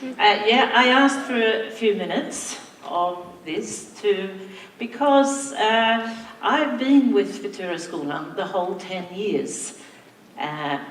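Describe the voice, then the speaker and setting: An older woman from Sweden, a native Swedish speaker, giving a slow, reflective anniversary speech to school staff as their principal. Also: mid-range voice, slight background noise. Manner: slow, reflective, warm thanks with soft laughs. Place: large hall, on stage. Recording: echoey hall from far off.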